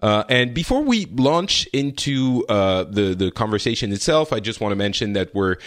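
A man's voice: fairly low pitch, big pitch swings, moderate pace, no room sound, clean studio sound. A man hosting a chat podcast, speaking hesitantly with many fillers. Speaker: podcast host.

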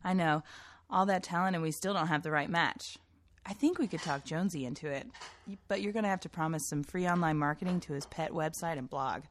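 A woman's voice: low voice, brisk, dry recording, natural voice. An actress playing a suspicious, pushy woman who writes and casts an amateur production, in naturalistic dialogue. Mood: brisk, persuasive. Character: suspicious, pushy amateur playwright running auditions. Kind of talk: naturalistic scripted drama dialogue.

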